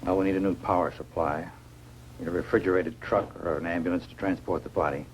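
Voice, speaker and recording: An actor playing a grave, authoritative scientist in charge, with terse delivery in 1950s science fiction film style. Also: male voice, low-pitched, steady tone, fast, lightly acted. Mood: commanding. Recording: noisy old 1950s film soundtrack.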